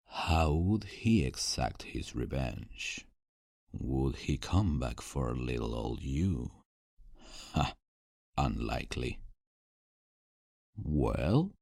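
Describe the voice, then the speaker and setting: Man acting a very deep, menacing vampire. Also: vocal fry, a little mellow, breathy edge, no room sound, clean studio sound, heavily acted. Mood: taunting. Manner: theatrical, taunting, mock-polite, drawn-out. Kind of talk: dark roleplay ASMR monologue.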